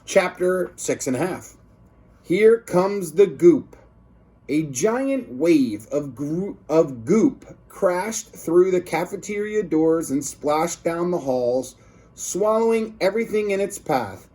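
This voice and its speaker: A man's voice: a little high, expressive, slow pace, mellow, slightly rough. A man reading a children's book aloud as a teacher, expressively emphasizing words for young listeners.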